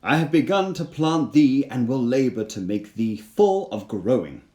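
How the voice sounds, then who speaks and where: A man reading as a gracious, warm-hearted king in a storybook, with grand old-fashioned welcoming declamation. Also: mid-range voice, sing-song, a little slow, reverberant. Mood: warm welcome.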